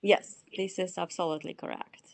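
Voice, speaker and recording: A woman in her forties from Belarus with a Russian accent, answering interview questions in a halting way with many fillers. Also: on the low side, steady tone, creaky. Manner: halting, searching for words, frequent fillers. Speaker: CFO, interviewee.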